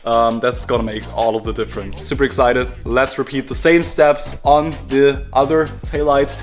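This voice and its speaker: A man's voice: mid-range voice, level tone, moderate pace, faint music behind. A man with a German accent, a native German speaker, chatting casually to camera as a car build vlogger who laughs at himself. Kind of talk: casual vlog narration. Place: car workshop.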